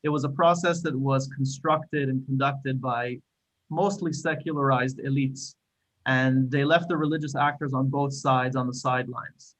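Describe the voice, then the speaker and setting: A man's voice: mid-range voice, fairly even intonation, rough recording. A man with an Israeli accent speaking thoughtfully on a discussion panel, with frequent small restarts. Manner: thoughtful, measured with hesitant restarts.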